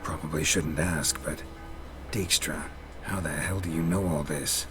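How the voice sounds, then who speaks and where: An actor playing a gruff, world-weary monster hunter in a video game, terse and deadpan with a gravelly voice. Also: male voice, very deep voice, unhurried, over music. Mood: curt, dry. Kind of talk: video game character acting.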